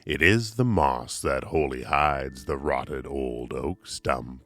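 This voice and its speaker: A man's voice: extremely low pitch, slow pace, fairly bright tone. A man reading poetry dramatically for an audiobook, theatrical, with big swells and drops in volume. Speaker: poetry reader. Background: music.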